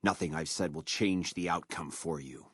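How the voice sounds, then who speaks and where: An actor playing a proud, menacing captured military officer in a video game, with a measured, contemptuous delivery. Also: male voice, low voice, sing-song, vocal fry, a bit bright, breathy edge, no room sound, clean studio sound, exaggerated voice. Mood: defiant, contemptuous. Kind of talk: video game character dialogue.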